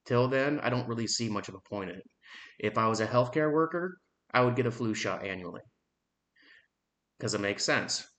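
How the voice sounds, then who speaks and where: A man in his thirties giving a blunt, frustrated opinion monologue on his own podcast, swearing freely and pausing often on "um.". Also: fairly low pitch, a bit bright. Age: 30s. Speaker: podcast host.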